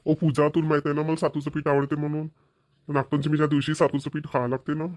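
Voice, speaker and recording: Man voicing a fast-talking rural Maharashtrian cartoon villager. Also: fairly high pitch, flat delivery, darkish tone, no room sound, lightly acted. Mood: pleading. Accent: Maharashtrian, rural Vidarbha Marathi. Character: village men, dutiful sons and put-upon husbands. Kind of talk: cartoon comedy dialogue.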